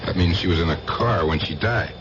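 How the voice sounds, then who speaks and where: Actor as a low-voiced, steady protective friend. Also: male voice, fairly quick, lightly acted. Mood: terse, questioning. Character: protective, steady male friend of a grieving man. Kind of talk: 1970s radio drama acting. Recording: dull old radio recording, loud noise.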